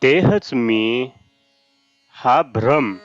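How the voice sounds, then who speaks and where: A man reads a spiritual discourse aloud in a measured, deliberate way. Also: medium pitch, animated, muffled recording. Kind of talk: read-aloud devotional discourse. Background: Indian classical raga music with sitar.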